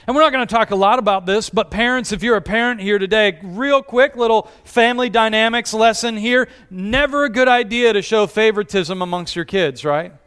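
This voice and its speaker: A man's voice: high-pitched, a little fast, few pauses, a bit bright, clean tone. A man preaching a conversational sermon to a church congregation, often asking the audience questions. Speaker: pastor.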